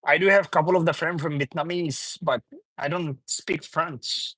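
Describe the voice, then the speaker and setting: A young man from Bangladesh with a slightly high voice, chatting loosely on a livestream. He chuckles often, reads viewers' comments aloud and teases them back. His voice sounds dry and close, with no room around it. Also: fairly even intonation, moderate pace, fairly bright tone, no echo, low-quality recording. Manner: loose, repetitive, teasing banter. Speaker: livestream host. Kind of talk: casual live chat with viewers.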